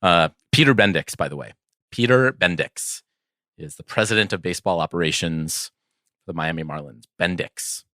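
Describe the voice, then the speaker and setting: A man's voice: sits low, moderate pace, bright, forceful tone, no echo, clean studio sound. A man co-hosting a casual sports podcast in a wry, conversational way. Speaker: podcast co-host.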